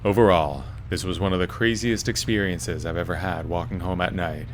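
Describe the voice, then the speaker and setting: Low-pitched man narrating a scary story. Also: brisk, a little hiss or hum. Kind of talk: first-person scripted scary story narration.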